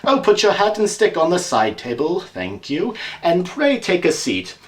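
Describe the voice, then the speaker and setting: Man acting as wry detective, self-assured, theatrical, emphatic, very lively intonation, audio drama. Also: sits high, moderate pace, voice pushed up. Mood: commanding, playful.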